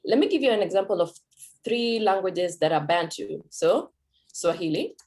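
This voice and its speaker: A Kenyan woman with a lowish voice gives an informal talk. She speaks conversationally, often pausing with fillers and correcting herself mid-sentence. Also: animated, darkish tone. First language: Kikuyu. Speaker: Swahili teacher. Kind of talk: talk at a cultural event.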